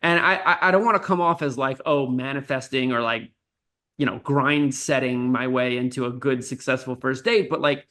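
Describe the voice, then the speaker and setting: A man who teaches communication speaks as an interview guest, explaining in a teacherly way. His voice sits at an ordinary middle pitch. He checks in with frequent tag questions. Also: normal speed, bright, forceful tone.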